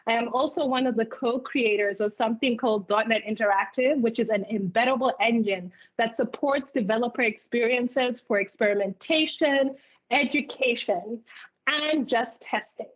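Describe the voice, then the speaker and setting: A woman in her thirties from Uganda, giving a clear, organized keynote talk at a virtual hiring event. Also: fairly high pitch, normal speed. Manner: clear, organized presenter, speaking in lists. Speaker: keynote speaker and product manager. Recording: muffled video call audio.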